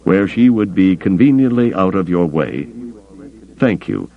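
A man's voice: sits low, expressive, a little slow, narrow, tinny audio. A man voicing a hard-nosed male smuggler captain in an audiobook, firm and sardonic.

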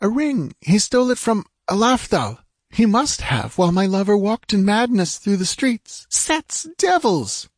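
Man voicing an urgent young woman, pitched way up. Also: sing-song, a little slow, no echo, clean studio sound, full character voice. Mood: frantic, gasping. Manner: urgent, imperious. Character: desperate, commanding young woman, breathless and urgent.

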